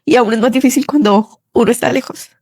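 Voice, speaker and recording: A woman's voice: on the high side, brisk, a little mellow, husky, no echo, studio quality. A young woman with a Colombian accent shares a personal testimony in an earnest, conversational way. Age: young adult. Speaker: listener sharing a testimony.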